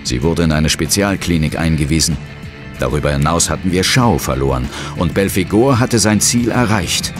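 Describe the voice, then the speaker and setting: A man playing a serious, brooding ghost hunter who narrates a horror audio drama gravely and dramatically. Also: low-pitched, normal speed, over music, lightly acted. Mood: somber. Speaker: first-person narrator and hero.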